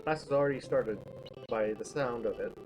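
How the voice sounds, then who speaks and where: A man narrating a first-person story aloud, reading haltingly with small stumbles. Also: medium pitch, music in the background. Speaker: streamer reading a visual novel. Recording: clearly noisy background.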